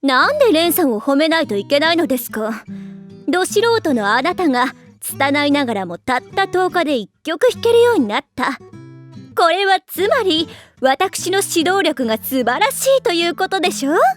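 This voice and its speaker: Very high-pitched haughty anime young lady. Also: sing-song, normal speed, faint music behind. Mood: smug. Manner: prim, formal, ladylike, self-satisfied speech. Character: haughty, strict teenage rich-girl music prodigy. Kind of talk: anime voice acting.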